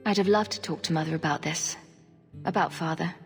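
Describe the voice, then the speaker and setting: An actress playing a kind, quietly burdened heroine in a fantasy game, with a husky voice and gentle manner. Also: female voice, sits low, a little fast. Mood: wistful. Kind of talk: fantasy game cutscene acting. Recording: hall reverb, music behind.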